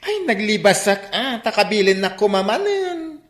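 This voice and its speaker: A woman's voice: mid-range voice, big pitch swings, moderate pace, natural voice. Woman acting a plaintive effeminate young man, echoey. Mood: aggrieved. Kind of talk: Filipino radio drama acting. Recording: echoey radio drama studio recording.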